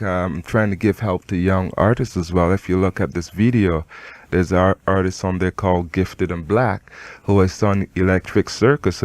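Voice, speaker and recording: A man with a Barbadian-Canadian accent speaks in a lowish voice, recorded dry and close in a radio studio. He is animated and emphatic, and he restarts his sentences often. He talks as a rap artist being interviewed. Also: no room sound. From Barbados, raised in Canada.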